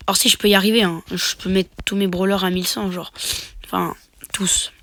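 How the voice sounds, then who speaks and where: A man's voice: high voice, flat delivery, brisk, sharp tone, dry recording. A man with a cold, sniffling as he casually commentates his own match into a phone mic, muttering half-whispered asides and mock boasts. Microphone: phone microphone.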